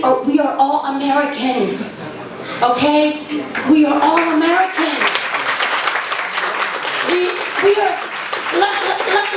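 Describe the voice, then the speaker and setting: A high-pitched woman chairs a political convention, firm and insistent as she calls the room to order. Her voice rings through a heavily echoing hall, picked up from a distance with steady background noise. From Afghanistan. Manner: firm, repeats thank-yous to restore order.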